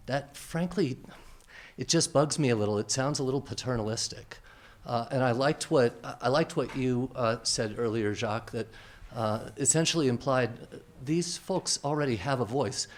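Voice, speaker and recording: A man with a voice of middling pitch asks a question from the audience. He picks his words with care, pausing often with small fillers, and stays thoughtful throughout. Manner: thoughtful, hesitant, many fillers. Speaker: audience member asking a question. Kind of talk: question from the floor. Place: conference hall.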